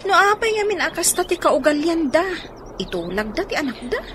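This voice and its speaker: Very high-pitched, nosy young cousin. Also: female voice, very animated, brisk, background noise. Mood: curious. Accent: Ilocano. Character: young woman cousin, chatty and curious. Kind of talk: Ilocano radio drama acting.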